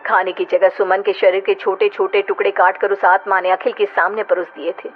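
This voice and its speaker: A woman's voice: mid-range voice, flat delivery, normal speed. Muffled woman narrating a horror story. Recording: muffled audio with loud music bed.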